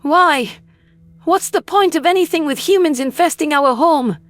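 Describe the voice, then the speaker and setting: A woman's voice: sits high, moderate pace, no room sound, natural voice. A woman playing a traumatized, bitter female alien historian in an audio drama, agitated and intense, prone to stammering. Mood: accusing, despairing. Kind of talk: dramatized audiobook character acting.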